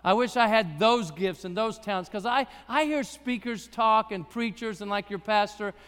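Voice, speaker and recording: A man preaching a sermon in a church with a raspy voice, talking conversationally with self-deprecating humor. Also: sits high, normal speed, a bit bright, in a large hall. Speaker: guest preacher.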